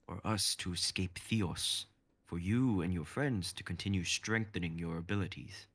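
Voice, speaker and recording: A man voicing Guang, a calm, knowing guide who gives measured advice. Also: low-pitched, breathy edge, no echo. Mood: steady.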